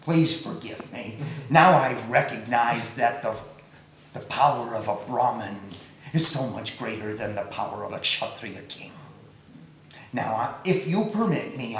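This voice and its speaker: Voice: mid-range voice, big pitch swings, measured pace, a little hiss or hum, pitched below the performer's own voice. A storyteller voicing a proud male emperor who makes insistent, commanding demands, in a very echoey room. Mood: humbled.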